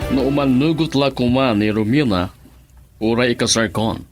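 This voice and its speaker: An actor playing a determined, lovestruck older suitor in a radio drama, with an Ilocano accent. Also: male voice, mid-range voice, moderate pace, over loud music, natural voice.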